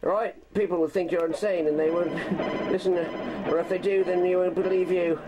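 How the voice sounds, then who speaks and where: A man's voice: high-pitched, fairly even intonation, normal speed, natural voice. A man playing an embittered, rambling spaceship commander in an amateur science-fiction audio drama, agitated and defiant. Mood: urgent. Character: embittered male spaceship commander and struggling artist, ranting defiantly. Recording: very noisy home-made tape recording.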